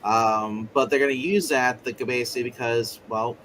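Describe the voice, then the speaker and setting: A man in casual tabletop game chat, narrating in a rambling, joking, animated way. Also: mid-range voice, normal speed, slight background noise. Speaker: player narrating in a roleplay game.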